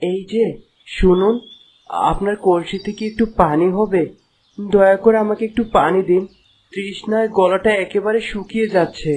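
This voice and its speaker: A man's voice: high voice, fairly even intonation, moderate pace, a little mellow, slight background noise, natural voice. A man voicing a courteous, lovestruck young prince in a fairy-tale cartoon, earnest and romantic. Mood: polite. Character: smitten young prince posing as a merchant, courteous.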